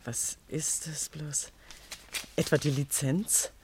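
An actress playing a brisk, self-assured young fiancée minding an office in a 1950s German radio drama. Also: female voice, low voice, fairly even intonation, darkish tone, a little hiss or hum. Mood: curious.

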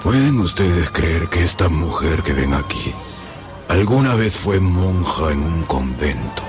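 Very deep-voiced, grave executioner. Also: male voice, very animated, unhurried, over music. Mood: grave, accusing. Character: mysterious grave hooded executioner, accusing storyteller. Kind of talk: radio drama acting.

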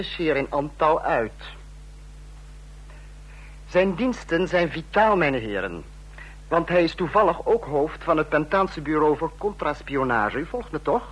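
An actor playing a sarcastic, domineering dictator in a radio drama, husky-voiced and biting as he scolds his subordinates. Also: fairly high pitch, poor audio. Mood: icy sarcasm.

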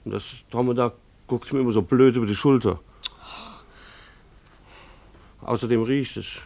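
Dry, gravelly middle-aged husband played by an actor. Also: male voice, mid-range voice, fairly even intonation, fast talker, dark tone, dry recording, natural voice. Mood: weary, uneasy. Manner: dry, formal, pedantic phrasing with hesitations. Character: harried, wry husband, a schoolteacher and family father. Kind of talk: German radio play, narration and dialogue.

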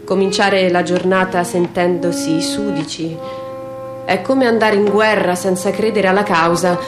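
A woman's voice: fairly low pitch, fairly even intonation, a little slow. Woman reciting dramatically over music. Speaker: stage reader and performer. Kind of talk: theatrical literary recitation. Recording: music under the voice. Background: instrumental music.

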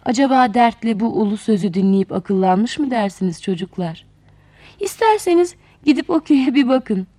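A woman's voice: fairly high pitch, moderate pace, dry recording. A woman storyteller narrating a fairy tale expressively, speaking directly to children. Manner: animated, addresses child listeners directly.

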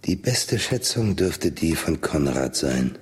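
A very low-pitched, husky male voice playing a weary older man in a radio drama. He speaks slowly and deliberately, with dry, sardonic resignation, as someone foretelling catastrophe. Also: very animated, exaggerated voice. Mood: grim, dry. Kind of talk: German radio drama acting, slow monologue.

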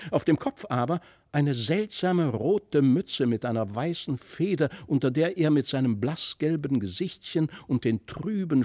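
A man narrating a literary fairy tale from a script, with expressive, deliberate storytelling. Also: medium pitch. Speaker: audiobook narrator.

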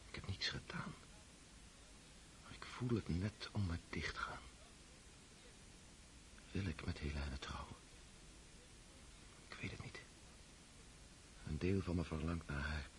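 An actor playing a brooding, self-doubting young count in a radio drama, speaking in a hesitant, introspective way. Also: male voice, very deep voice, a bit bright, exaggerated voice. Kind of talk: radio drama acting with inner monologue.

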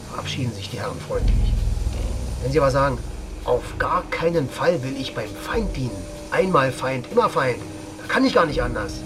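A sardonic military officer played by a man in a radio crime drama, with a medium-pitched voice. He talks in a brusque, dry, challenging way amid clear vehicle noise. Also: close to an everyday voice. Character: brusque, sardonic male army officer and pilot. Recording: loud vehicle engine noise around the voice.

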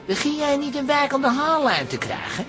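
A man's voice: extremely high pitch, expressive, moderate pace, sharp tone, exaggerated voice. An actor playing an eager, slyly persuasive hairdresser with a Netherlands Dutch accent and a teasing salesman's manner in a radio play. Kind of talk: radio comedy-drama acting. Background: music.

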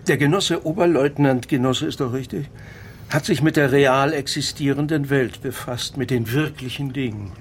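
A male actor as an opinionated crime novelist in a radio play. He lectures pompously in a mid-range voice, with a faint rumble of noise behind him. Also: moderate pace, natural voice. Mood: lecturing, disdainful.

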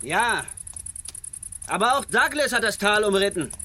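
Bright-voiced, guarded western rider. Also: male voice, high voice, very animated, measured pace, full character voice. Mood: guarded, wary. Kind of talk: western radio drama acting.

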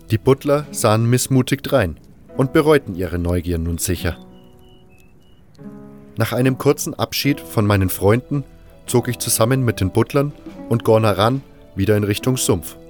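A man's voice: fairly low pitch, a little mellow. A man narrating a scripted first-person adventure story for a fan audio drama. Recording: music under the voice. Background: music.

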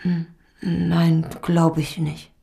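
A low, dark-voiced mother in a radio play, worn and unsure. She answers haltingly, with a gravelly fry, at times sinking into a hush. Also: flat delivery, full character voice. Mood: subdued, uncertain. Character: subdued, hesitant mother of a missing teenage boy. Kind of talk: radio drama acting.

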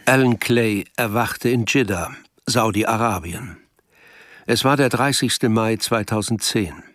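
Measured male radio play narrator. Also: lowish voice, a bit bright, no echo, studio quality.

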